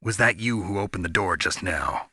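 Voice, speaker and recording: An actor voicing a gruff, hardened soldier in a video game, terse and cynical, with a gravelly rasp. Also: male voice, deep voice, moderate pace, pushed and bright, no room sound, clean studio sound, exaggerated voice. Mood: low, serious. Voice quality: gravelly, raspy.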